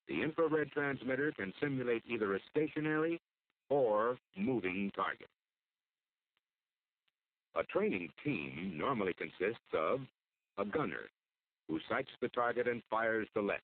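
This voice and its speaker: An American man delivers formal, measured scripted narration for an instructional military training film. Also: fairly low pitch, big pitch swings. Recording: muffled phone-like old film soundtrack.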